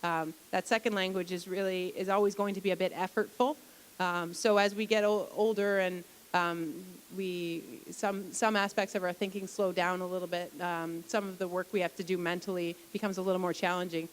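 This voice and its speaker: A woman's voice: fairly low pitch, fairly even intonation, fairly quick, a little hiss or hum. A woman lecturer answering audience questions after a public talk, explaining patiently with frequent restarts. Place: library atrium.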